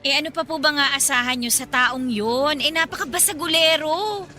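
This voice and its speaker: A cheeky young Filipina in a radio drama. Her voice is high and piercingly bright, and she talks back in a pert, sarcastic way. Soft music runs behind her. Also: moderate pace. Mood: bitter. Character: sassy, food-loving young woman, cheeky and grudge-holding. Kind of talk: Tagalog radio drama acting.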